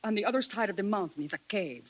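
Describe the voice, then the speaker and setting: Actress as a fiery jealous Italian girl, animated voice. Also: mid-range voice, fast, lightly acted. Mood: spiteful.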